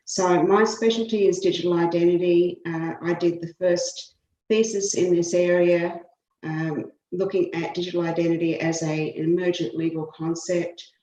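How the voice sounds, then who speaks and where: An Australian woman, a law professor, giving measured expert testimony to a committee. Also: lowish voice, fairly even intonation, mellow. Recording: roomy echo.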